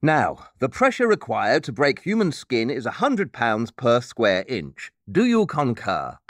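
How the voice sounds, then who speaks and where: A man acts out a brilliant, eccentric male detective for an audio play. He is condescending and matter-of-fact, taking his time while his pitch leaps about. The sound is very dry, with no room around it. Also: wildly swooping pitch, a little slow, fairly bright tone, no room sound, heavily acted. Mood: absorbed, analytical. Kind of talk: audio drama acting.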